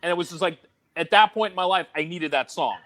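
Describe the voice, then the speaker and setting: High-voiced animated male podcaster. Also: background noise. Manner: animated storyteller, quick to joke. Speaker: podcast co-host, DJ. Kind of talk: casual chat.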